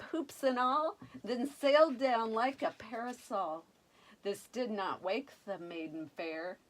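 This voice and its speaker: An older woman reading a children's picture book aloud, expressive and playful, with dramatic emphasis and chatty asides. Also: medium pitch, poor audio.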